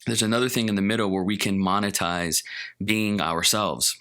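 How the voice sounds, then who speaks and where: Low, bright-edged male host in his thirties; earnest, rambling solo vlog talk. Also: moderate pace, clear, resonant tone. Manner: earnest, candid, rambling with repeated phrases. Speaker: podcast host and videographer. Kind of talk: reflective monologue vlog.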